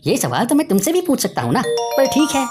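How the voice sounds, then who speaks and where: A woman's voice: high-pitched, wildly swooping pitch, a little fast. A woman voicing a cocky, brash male farmhand sidekick in a cartoon story. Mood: defensive. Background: music.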